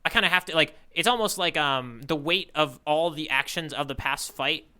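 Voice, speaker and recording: A man speaks in a very bright, clean voice that sits a little high. He chats casually as a podcast co-host. His manner is tentative, working through his thoughts as he talks. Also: normal speed, clear, resonant tone. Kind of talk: casual chat about a manga chapter.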